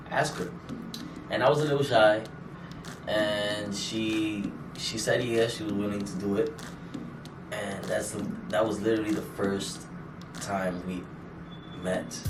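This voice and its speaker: A man in his late twenties from New York talks in a relaxed, teasing way during a couple's Q&A. His voice is very low and heard from a distance. An echoey room carries music behind him. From New York, USA. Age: late 20s. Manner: laid-back, dry teasing jokes. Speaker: vlog co-host. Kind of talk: casual Q&A chat. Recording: roomy, distant mic, music underneath.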